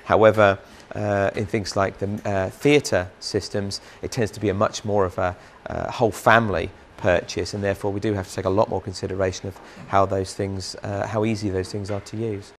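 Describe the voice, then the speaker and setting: A man in his thirties, a brand manager interviewed on a large factory floor with a lapel mic, explaining in a measured way. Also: on the low side, little pitch movement, slight background noise.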